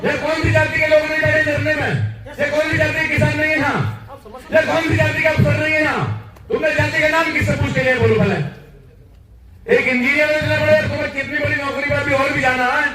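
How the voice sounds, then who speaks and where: A man's voice: extremely high pitch, little pitch movement, fairly quick. A man confronting and scolding someone during a protest. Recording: echoey hall from far off, loud crowd.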